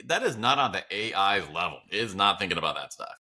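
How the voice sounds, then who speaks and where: A man's voice: sits low, sing-song, fast, pushed and bright. A man co-hosting a podcast, chatting casually and philosophically in a blunt, rambling, opinionated way. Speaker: podcast co-host.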